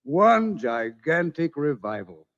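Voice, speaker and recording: A learned, coolly curious scientist in a 1950s radio drama. He explains things deliberately, with lively swings of pitch and quiet authority. The old recording is dull, with long reverb. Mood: dry, explanatory.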